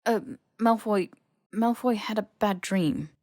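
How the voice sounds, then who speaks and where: A woman voicing an earnest, awkward teenage boy wizard in an audiobook, halting and stammering as the character defends himself. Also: medium pitch, darkish tone, no echo, studio quality, pitched above the performer's own voice, close to an everyday voice.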